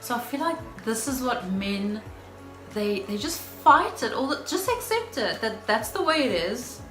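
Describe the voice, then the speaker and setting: A woman with a nasal, congested voice chatting casually on a couple's vlog, measured and careful to qualify what she says. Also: on the high side, sing-song, fairly bright tone. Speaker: couple vlogger. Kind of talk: casual chat. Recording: echoey room with background music.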